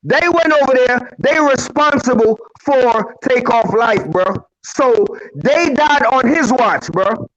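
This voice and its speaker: A man in his forties from Brooklyn, calling into a live stream and giving animated, heated street-talk commentary, always adding "you know what I'm saying". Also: very high-pitched, takes it slowly, hardly any pauses, muffled recording. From Brooklyn, New York. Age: 40s. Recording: roomy, noisy call audio that cuts in and out.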